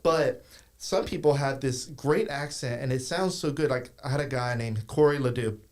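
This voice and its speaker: A man from South Louisiana hosting a podcast, talking casually in a rambling, halting way. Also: medium pitch. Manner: rambling, halting talk with restarts. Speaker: podcast host.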